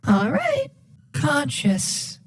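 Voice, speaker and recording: A woman's voice: sits high, wildly swooping pitch, extremely slow. A synthetic female voice reading short, disjointed text-to-speech phrases in a sing-song manner.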